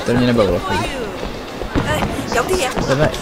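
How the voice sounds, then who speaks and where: A man's voice: medium pitch, moderate pace. A Czech man giving casual let's play commentary as he plays a video game. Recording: commentary over loud game music and crowd. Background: video game audio.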